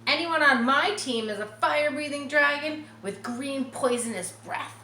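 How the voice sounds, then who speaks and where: A woman's voice: high-pitched, a little slow, bright, forceful tone, voice pushed up. A woman voicing a boastful, stubborn schoolboy with emphatic delivery in a children's storybook. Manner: bragging, emphatic. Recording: roomy echo, distant mic, faint noise.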